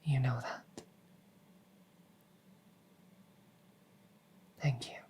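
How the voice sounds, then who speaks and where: A woman playing a devoted, centuries-old vampire maid in a gentle ASMR roleplay, speaking softly and formally in a breathy near-whisper. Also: a bass voice, steady tone, normal speed, full character voice. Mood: wistful, devoted. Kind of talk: soft-spoken roleplay comfort ASMR.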